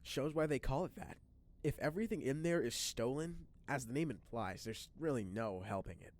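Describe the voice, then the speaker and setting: Anime dub lead: brash, self-mocking young man voiced by a male actor, lively and expressive, very animated pitch. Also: normal speed, natural voice. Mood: casual, calculating. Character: excitable, self-deprecating young man, brash but scheming. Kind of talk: anime-style fan dub acting.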